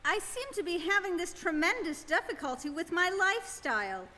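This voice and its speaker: An actor as hapless Earthman, male, very high voice, plaintive, apologetic, live radio play, reverberant hall. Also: very animated, normal speed, sharp tone, a little hiss or hum, heavily acted.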